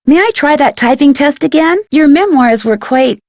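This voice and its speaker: A woman's voice: high-pitched, animated, moderate pace, darkish tone, no room sound, studio quality. A woman playing a curious, polite young detective with an American accent in a video game. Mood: friendly, curious. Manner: inquisitive, clearly enunciated. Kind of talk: scripted video game dialogue.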